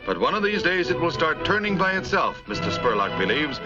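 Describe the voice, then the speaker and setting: A man's voice: a little high, big pitch swings, a little fast. An American man reading scripted 1950s newsreel narration in a dramatic, declamatory announcer's delivery. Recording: dull old film soundtrack, music underneath.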